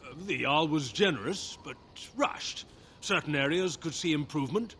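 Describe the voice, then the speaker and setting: A man playing a courteous, businesslike mercenary officer in a video game, speaking in a formal, measured and deferential way. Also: a little high, lively intonation, sharp tone, a little hiss or hum. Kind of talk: video game character dialogue.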